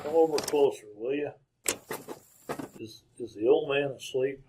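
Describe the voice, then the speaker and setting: A man acting as a wounded outlaw of the American West in an old-time radio drama. He has a middle-pitched voice and pleads earnestly in plain frontier talk, halting and repeating himself. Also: background noise, natural voice. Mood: weak, urgent. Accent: American Western. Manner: halting, folksy, repeats words. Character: dying wounded gunslinger, earnest, pleading with gruff dignity.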